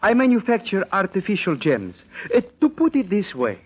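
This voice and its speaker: Radio play: pompous, pedantic male gem merchant, high husky voice, muffled vintage recording. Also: wildly swooping pitch, normal speed, exaggerated voice. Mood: fussy, formal. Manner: pedantic, hesitant, formally worded. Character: fussy, precise male artificial-gem maker, self-important. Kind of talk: old-time radio drama acting.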